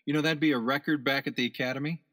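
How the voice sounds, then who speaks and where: An actor in a radio play voicing a cocky government agent who becomes increasingly rattled. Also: male voice, medium pitch, fairly even intonation, racing, fairly bright tone, lightly acted. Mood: elated.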